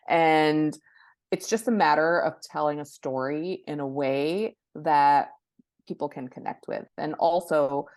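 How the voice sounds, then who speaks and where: Female expert guest, low register, conversational explainer delivery, dry recording. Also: a little mellow, no echo. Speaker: guest book marketing expert.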